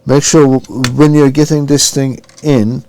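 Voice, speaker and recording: A man's voice: medium pitch, little pitch movement, measured pace, mellow, slight background noise. A man narrating an informal hands-on repair tutorial, giving careful step-by-step instructions and sometimes muttering to himself. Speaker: repair demonstrator.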